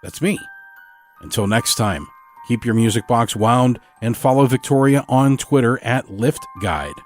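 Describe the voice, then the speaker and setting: A man's voice: mid-range voice, measured pace, faint music behind. A man, a podcast producer, reading a scripted outro with credits.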